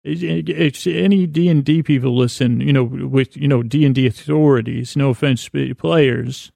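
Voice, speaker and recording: A man's voice: mid-range voice, normal speed, creaky, warm tone, no room sound. A man hosting a podcast with a meandering, silly bedtime monologue, mumbling, stammering and repeating words as he goes. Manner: silly, self-deprecating. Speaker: podcast host. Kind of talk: rambling, whimsical bedtime monologue.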